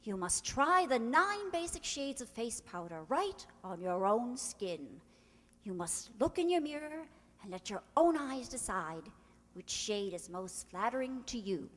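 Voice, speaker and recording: Gracious cosmetics spokeswoman played by a woman, confiding sales pitch, lively intonation, old-time radio advert in a reverberant hall. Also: heavily acted. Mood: persuasive. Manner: measured, persuasive. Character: gracious beauty-brand spokeswoman, confidential and persuasive. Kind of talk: vintage radio commercial. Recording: reverberant hall stage recording.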